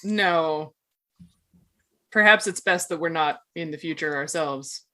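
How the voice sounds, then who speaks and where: A woman's voice: sits low, takes it slowly, a bit bright. A woman playing a pragmatic, wry knight who talks in precise, bookish, formal phrases. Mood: dry, dismissive.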